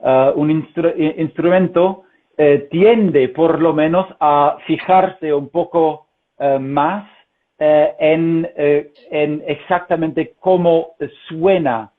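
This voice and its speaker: Halting man, tinny call audio. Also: on the high side, fairly even intonation. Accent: Castilian Spanish, learned as a second language. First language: German. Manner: hesitant, many fillers and restarts. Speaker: interview guest, translator. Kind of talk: conversational interview. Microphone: video call.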